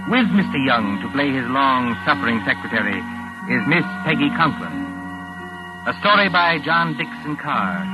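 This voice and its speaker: An actor playing a mysterious, urbane host, narrating a 1940s radio suspense show in a measured, formal, theatrical way. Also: male voice, mid-range voice, natural voice, rough recording. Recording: old 1940s radio broadcast, music underneath.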